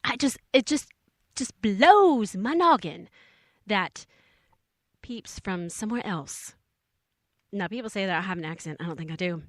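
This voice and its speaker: A woman's voice: medium pitch, animated, measured pace, long pauses, dry recording, studio quality. A woman from Texas, hosting an indie radio show in bubbly, slangy, rambling chatter. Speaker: radio host.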